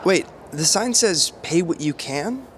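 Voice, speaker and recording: A hapless, self-pitying young man, played by an actor, whiny and nervous. His voice is high for a man and leaps up and down in pitch. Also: expressive, a little slow, very noisy. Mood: anxious. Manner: whiny, anxious.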